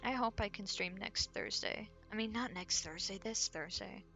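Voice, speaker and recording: Bright-voiced woman streamer chatting. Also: mid-range voice, moderate pace, vocal fry. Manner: thinks aloud, rambling, muttering to herself. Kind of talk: casual chat while drawing. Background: faint background music.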